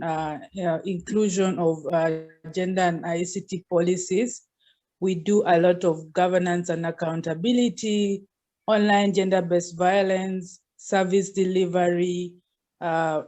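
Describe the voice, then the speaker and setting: A woman's voice: on the low side, steady tone, unhurried, a little mellow. Give me a polite woman from Uganda introducing herself on a call. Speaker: program manager. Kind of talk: self-introduction on a video call. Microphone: video call.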